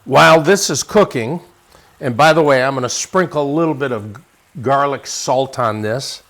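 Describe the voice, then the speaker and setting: A man's voice: mid-range voice, moderate pace, a bit bright. A man from Wisconsin with an American accent, casually chatting as he hosts a cooking show in a folksy, encouraging way. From Wisconsin, United States. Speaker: host of a lifestyle and cooking show. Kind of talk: casual cooking demonstration and chat.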